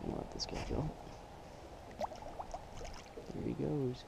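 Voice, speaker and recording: A teenage man fishing from a small boat on a lake, chatting excitedly about his catches, recorded on an action camera outdoors. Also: medium pitch, unhurried, gravelly, heavy background noise. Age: teens. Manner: excited, exclaiming over catches. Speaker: fishing vlogger. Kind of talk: casual fishing vlog chat.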